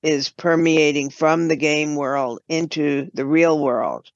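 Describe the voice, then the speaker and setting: A woman giving explanatory, halting answers as a guest in an unscripted interview. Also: deep voice, fairly even intonation, dry recording, dull audio. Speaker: podcast guest, writer and producer.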